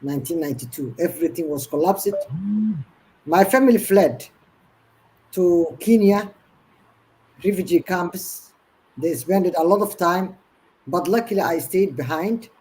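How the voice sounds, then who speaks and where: A woman's voice: fairly low pitch, unhurried, pauses often, warm tone, poor audio. A woman in her fifties from Somalia, a guest in an online interview, telling her story earnestly in long run-on sentences. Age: 50s.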